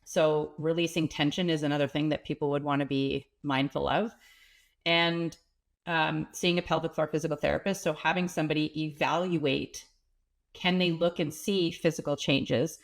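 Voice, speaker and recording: A woman with a cold and a slightly stuffy, nasal voice, a pelvic health expert calmly explaining things as an interview guest. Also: deep voice, moderate pace. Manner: earnest, explanatory, some restarts. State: has a cold, sniffling.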